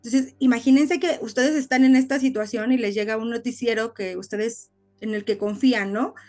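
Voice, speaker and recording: A woman's voice: on the high side, steady tone, normal speed, darkish tone, soft background music. A woman co-hosting a casual podcast, explaining things like a storyteller with frequent little tag questions. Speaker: podcast co-host.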